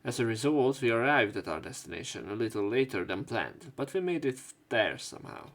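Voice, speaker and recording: Gravelly-voiced guy reading a visual novel out loud, cracking jokes in between. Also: medium pitch, very animated, brisk. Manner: joking asides, casual reading with fillers. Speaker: let's play host. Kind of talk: reading game text aloud with commentary.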